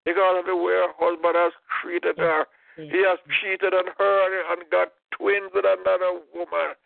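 A man's voice: sits high, level tone, normal speed, vocal fry. A man leading a fervent intercessory prayer, impassioned, repeating "oh God" and "in the name of Jesus". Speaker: prayer leader. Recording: tinny phone-line prayer call.

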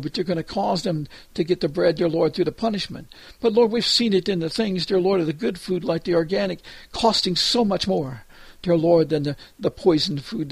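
An older man prays aloud in an unbroken stream, tucking "dear Lord" between nearly every phrase and stumbling now and then. His voice is gravelly and husky, set a little high for a man, and the recording is dry and close. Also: no room sound. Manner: halting, with restarts and fillers. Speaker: prayer leader. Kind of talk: extemporaneous spoken prayer.

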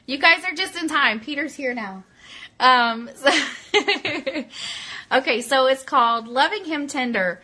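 A woman hosting a casual live fan show, chatting and reading aloud in an excitable, informal, giggly way. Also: high voice, takes it slowly. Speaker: host of an Elvis fan radio show.

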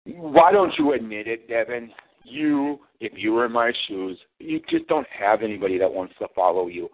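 A man with a gravelly voice taunts a rival in a wrestling promo, his pitch jumping all over as he mocks. He sounds sarcastic and showy. The sound is tinny, as if over a phone line. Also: huge pitch swings, normal speed. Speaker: wrestling federation champion cutting a promo. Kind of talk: trash-talking wrestling promo over a call.

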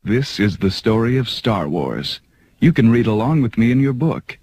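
A man narrating a children's read-along storybook record with slow, deliberate delivery. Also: medium pitch, very animated, a little mellow, breathy edge, soft background music. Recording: noisy old storybook record with clicks.

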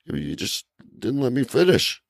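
A man hosting a podcast in casual comic chat, rambling and halting as he goes. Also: medium pitch, wildly swooping pitch, gravelly, no room sound, studio quality. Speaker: podcast host.

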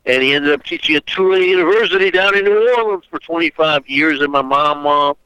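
A man's voice: fairly high pitch, fairly quick, bright, forceful tone, smooth, dry recording, dull audio. An older man from Austria, a guest author on an internet call, talking in a rambling way with many fillers and restarts. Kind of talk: phone interview. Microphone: internet call audio.

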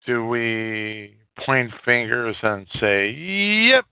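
A man hosting a solo podcast, giving unscripted political commentary in a halting way and repeating phrases for emphasis. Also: medium pitch, big pitch swings, smooth, dry recording. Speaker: podcast host. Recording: muffled, tinny phone-like sound.

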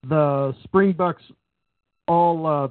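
Male radio co-host, a bit high-pitched, measured and opinionated; dry, tinny sound. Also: dry recording. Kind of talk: casual radio talk. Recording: phone-like narrow sound, dry.

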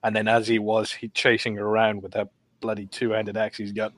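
A man's voice: lowish voice, monotone, a bit bright, no room sound. Man rambling casually, close dry recording. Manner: rambling, halting storytelling. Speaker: podcast panelist. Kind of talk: casual chat, recounting gameplay.

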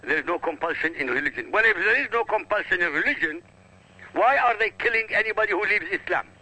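A man's voice: high-pitched, lively intonation, quick pace, pushed and bright, rough recording. A man whose first language is Arabic, arguing insistently on a radio talk show and lecturing with emphatic repetition. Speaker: guest.

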